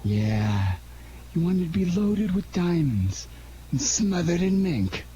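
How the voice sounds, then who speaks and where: Guy as a sinister sweet-talking racketeer, old radio melodrama, voice swings wildly, loud noise behind. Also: high voice, sing-song, takes it slowly, highs cut off, heavily acted. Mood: sinister calm. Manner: mock-tender, taunting. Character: menacing, smooth-talking male fur thief. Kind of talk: 1940s radio crime melodrama acting.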